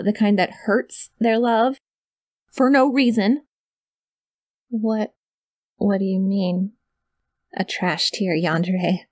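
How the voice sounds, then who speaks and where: A sweet, clingy yandere kidnapper played by a woman, with a high voice recorded very dry and close. She rambles in an awkward, adorkable, gushing way, as in an ASMR audio roleplay spoken to her captive. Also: no room sound. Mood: anxious, insistent.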